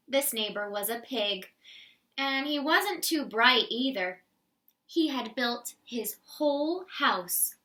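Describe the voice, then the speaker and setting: Woman reading as an animated, aggrieved storybook wolf. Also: high voice, recorded from a distance, full character voice. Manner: confiding, addresses listeners directly. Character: male wolf, chatty, aggrieved, self-excusing storyteller. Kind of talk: animated children's storybook read-aloud.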